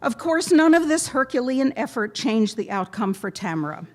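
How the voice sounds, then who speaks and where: A woman giving a prepared memorial tribute to a gathering, speaking in measured, deliberate phrases with pointed pauses. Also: on the high side, sing-song. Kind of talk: prepared eulogy read aloud to a gathering.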